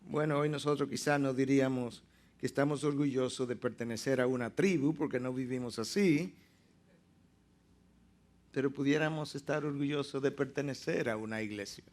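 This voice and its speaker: A man preaching a sermon, teaching emphatically and slowing down to stress key words. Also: medium pitch, darkish tone.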